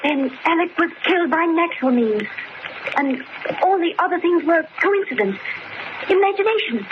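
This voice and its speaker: Very high-voiced anxious wife. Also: female voice, lively intonation, normal speed. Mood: shocked, questioning. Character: anxious, frightened wife in a love triangle. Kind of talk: 1930s radio melodrama acting. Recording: muffled, noisy old radio recording.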